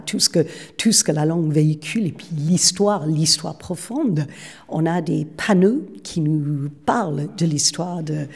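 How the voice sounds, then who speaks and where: A woman's voice: low-pitched, gravelly, darkish tone, husky. A woman, speaking as a city official in an interview at a public event, talking eagerly and often repeating words as she searches for the next one.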